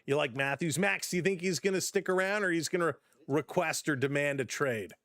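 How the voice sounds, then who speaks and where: A chatty, upbeat man calling a baseball game live for his stream, breaking off to welcome viewers by name. His voice sits fairly high and has gone slightly hoarse from talking. The sound is dry and close, with no room echo. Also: fairly quick, a bit bright. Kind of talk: casual play-by-play with chat reactions.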